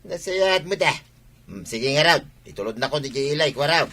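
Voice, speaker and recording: A man's voice: fairly high pitch, animated, normal speed, pushed and bright, full character voice. A man acting a stubborn, grumbling villager in an Ilocano comedy radio drama, complaining and insistent.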